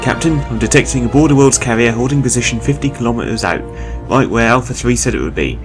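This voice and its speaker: A man plays a brisk bridge officer reading out sensor contacts at speed and with a raised voice. A faint musical score plays under him. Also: mid-range voice, expressive, music in the background, close to an everyday voice. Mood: urgent.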